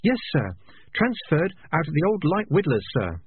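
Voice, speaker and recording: A man's voice: fairly high pitch, huge pitch swings, moderate pace, slightly rough, no room sound, pitched above the performer's own voice, heavily acted. A man doing a nervous, stammering young rat recruit. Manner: hesitant, stammering. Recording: lo-fi phone-like sound.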